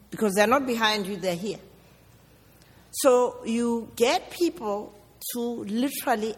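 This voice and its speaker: A woman with a South African accent answering audience questions at a public talk, deliberate and emphatic, with rhetorical questions. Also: mid-range voice. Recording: echoey hall with long reverb.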